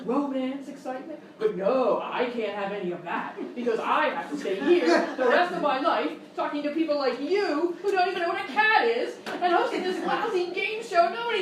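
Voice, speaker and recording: A woman's voice: high voice, moderate pace, hardly any pauses, close to an everyday voice. A woman playing an exasperated, bitter game show host, ranting theatrically and contemptuously in live radio-style sketch comedy. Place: live stage performance in a hotel room. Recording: very echoey room, distant mic, noisy.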